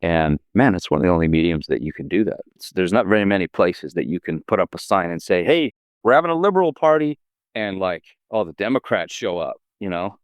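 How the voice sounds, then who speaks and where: Deep-voiced man talking fast in bursts. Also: clean tone, no room sound. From Canada. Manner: animated, talks fast in bursts, many restarts. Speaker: actor and filmmaker guest. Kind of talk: casual interview chat.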